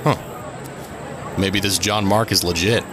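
An actor playing a cautious, hopeful police officer in an audio drama, earnest and questioning. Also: male voice, sits low, fairly even intonation, a little slow. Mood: curious, hopeful. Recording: outdoor city noise behind. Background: urban street ambience.

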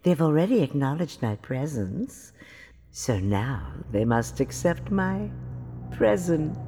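A woman's voice: sits low, sing-song, takes it slowly, dark tone, slight background noise, heavily acted. An actress playing a wicked, mocking old fairy in a fairytale audio drama, theatrical and mock-sweet in her gloating. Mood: menacing, gloating. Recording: long hall reverb.

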